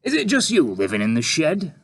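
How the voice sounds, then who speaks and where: A slick, ingratiating newspaperman, played by a male storyteller. The voice rides a little above the reader's own, lively and sly. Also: a little high, a little fast, slightly rough, voice pushed up, heavily acted. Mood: flattering. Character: smooth, flattering male journalist.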